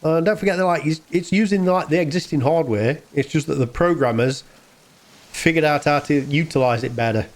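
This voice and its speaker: A man giving casual, rambling reaction commentary on a video game, halting as he goes. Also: on the high side.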